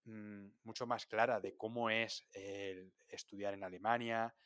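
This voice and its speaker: Calm Spanish male podcast host. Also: on the low side, a little slow, clear, resonant tone, no room sound, clean studio sound. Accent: Spanish from Spain. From Spain. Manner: calm, affirming, thanking the guest. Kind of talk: interview host.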